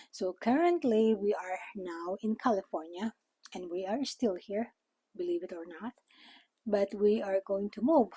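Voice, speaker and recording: An older woman from the Philippines with a Filipino accent, a native Tagalog speaker, talking informally and a little haltingly as she explains things in a vlog. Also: medium pitch, warm tone, breathy edge, no echo, poor audio. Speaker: vlogger. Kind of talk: informal talk to camera.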